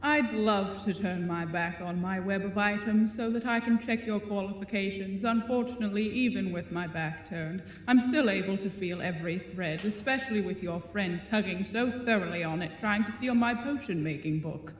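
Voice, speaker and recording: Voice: mid-range voice, normal speed, hardly any pauses, lightly acted. An actor playing a giant talking spider, genteel and mockingly polite, in a theatrical audio drama style. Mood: sly, knowing. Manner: theatrical, mock-courteous. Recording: echoey cavern reverb with noisy ambience.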